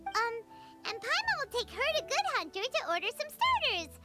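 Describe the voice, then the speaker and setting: A woman voicing a tiny, excitable, childlike fairy companion who chirps away about herself in the third person in a video game. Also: very high voice, moderate pace, exaggerated voice. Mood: excited. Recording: music playing behind the voice.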